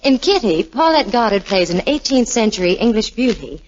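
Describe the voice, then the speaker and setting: A woman's voice: fairly high pitch, big pitch swings, moderate pace, a little mellow. An American woman radio reporter delivering scripted 1940s promotion in a gushing, exclamatory manner. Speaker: Hollywood reporter. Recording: muffled old radio recording, faint noise.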